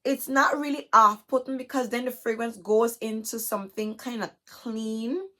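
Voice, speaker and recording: A young adult woman with a hoarse, scratchy, chesty voice, chatting casually to camera as a vlogger and filling her talk with "you guys". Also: a little high, fairly even intonation, normal speed, bright, forceful tone. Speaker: beauty vlogger. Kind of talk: casual haul chat to camera.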